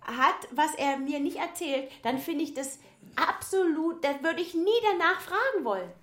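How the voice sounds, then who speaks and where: An actress playing an assured, tolerant wife, conversational and emphatic, in an improvised radio comedy. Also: female voice, sits high, expressive, normal speed, fairly bright tone. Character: assured wife who respects her husband's privacy. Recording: echoey room.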